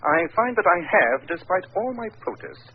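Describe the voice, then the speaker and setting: English gentleman detective, animated, precise, wry, hissy vintage radio drama. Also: sits high, moderate pace. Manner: precise, clipped, formal. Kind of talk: 1940s radio acting. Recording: muffled, hissy old radio recording.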